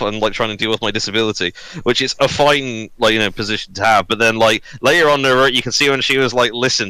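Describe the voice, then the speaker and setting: A man's voice: medium pitch, bright, forceful tone, no room sound, poor audio. A man hosting a casual podcast discussion, talking in a rambling, jokey, sweary way. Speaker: podcast host.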